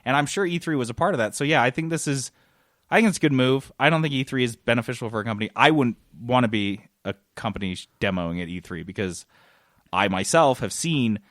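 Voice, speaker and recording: A man's voice: medium pitch, expressive, fast talker, a bit bright, dry recording, clean studio sound. A man hosting a casual gaming podcast, explaining things and acting out quotes as he goes. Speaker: podcast host, games journalist.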